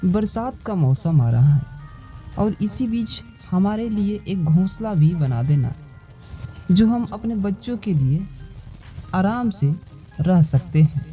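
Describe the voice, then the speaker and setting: Slow-talking little mother bird played by a narrator. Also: mid-range voice, expressive, mellow. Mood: grateful, asking. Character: small chatty mother bird, grateful and eager. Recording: dull lo-fi sound, music behind.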